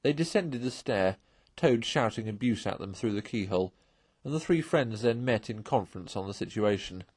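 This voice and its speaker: A man's voice: lowish voice, lively intonation, moderate pace, darkish tone, breathy edge, no room sound. A man narrating a children's classic read aloud as a storybook. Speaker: audiobook narrator.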